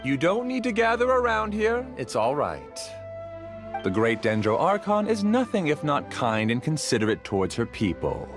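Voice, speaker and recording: An actor playing an obsessed, self-assured researcher in a video game, lecturing in an articulate, theatrical way. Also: male voice, fairly high pitch, wildly swooping pitch, moderate pace, music in the background. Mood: self-justifying. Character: self-assured male researcher, obsessed, coolly justifying himself. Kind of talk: video game dialogue acting.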